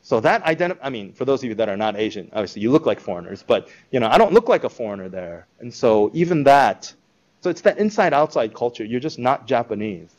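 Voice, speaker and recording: A man giving an informal talk to a live audience, conversational and animated, acting out quoted reactions. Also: mid-range voice, quick pace, highs cut off. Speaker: speaker presenting a school project.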